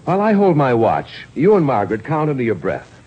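An actor playing an urgent, quick-thinking American lawyer in a 1950s radio drama. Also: male voice, mid-range voice, huge pitch swings, slightly rough. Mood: earnest, persuasive. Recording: dull, faintly noisy vintage radio broadcast.

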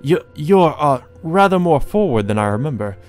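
A man's voice: on the high side, big pitch swings, normal speed, soft background music, pitched above the performer's own voice. A man voicing a well-bred young nobleman from a visual novel, hesitant and formal. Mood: uneasy, flustered.

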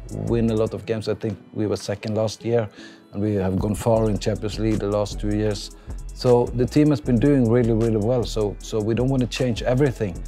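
Norwegian-accented man in his forties, mellow. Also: lowish voice. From Norway. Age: 40s. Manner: calm, thoughtful, many fillers and restarts. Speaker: football coach. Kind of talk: interview. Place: outdoor stadium stands.

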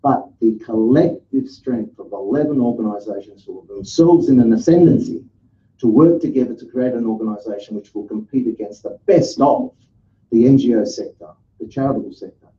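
A man's voice: fairly low pitch, dark tone. Emphatic male speaker, echoey hall. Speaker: speaker at a celebration. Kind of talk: formal tribute speech. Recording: echoey room, dull sound.

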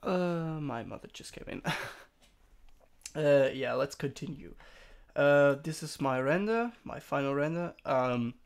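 Hoarse young man, casual tutorial. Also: on the high side, expressive, measured pace. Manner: rambling, many fillers and self-corrections. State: sick, throat-clearing voice. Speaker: tutorial streamer. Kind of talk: casual screen-recorded software tutorial. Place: home room.

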